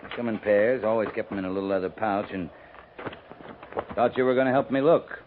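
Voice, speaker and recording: An actor playing a gruff, absent-minded frontier cavalry captain in a muttering 1950s radio western style. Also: male voice, fairly low pitch, brisk, close to an everyday voice. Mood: preoccupied. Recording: noisy, tinny 1950s radio recording.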